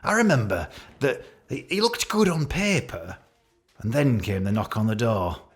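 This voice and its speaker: A man's voice: medium pitch, very animated, moderate pace, natural voice. A man playing an awkward, catty office boss with a British accent, giving a hesitant, rambling, jokey leaving speech in a radio play. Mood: hesitant, barbed. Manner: hesitant, repetitive, jokey with barbed asides.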